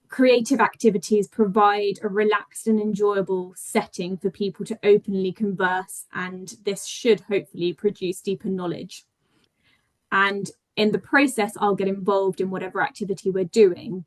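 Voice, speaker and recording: A woman with a British accent, from Spain, presenting her research project in a thoughtful talk with frequent fillers and self-corrections. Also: mid-range voice. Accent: British English. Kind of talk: conference talk.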